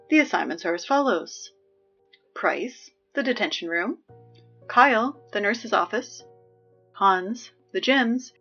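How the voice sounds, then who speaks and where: A woman playing an exasperated, sarcastic high school announcer in a scripted comedy audio drama, dryly officious with conversational asides. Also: a bit bright, dull audio, natural voice. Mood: dry, businesslike.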